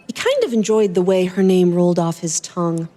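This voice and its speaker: A woman's voice: lowish voice, sing-song, a little fast, warm tone, big-room sound. A woman reading scripted narration as a podcast host.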